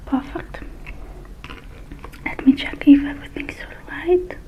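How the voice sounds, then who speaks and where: A woman doing an ASMR roleplay as a technician, murmuring softly and mostly in a whisper, with unhurried pauses. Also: high-pitched, rough recording.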